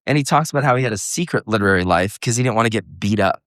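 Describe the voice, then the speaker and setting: A man's voice: lowish voice, lively intonation, rapid-fire, fairly bright tone, no echo, clean studio sound. A man speaking at a homeschool workshop, lecturing parents in an earnest, reflective way and weaving in quotes and asides. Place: live workshop room.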